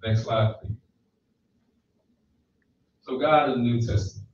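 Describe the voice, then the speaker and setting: A man's voice: mid-range voice, fairly even intonation, measured pace, slight background noise. A man in his thirties giving a sermon in a small church hall, holding a handheld mic and often checking in with "right?". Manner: conversational preaching with frequent "right?" checks. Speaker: preacher. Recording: echoey, distant, slightly dull room recording.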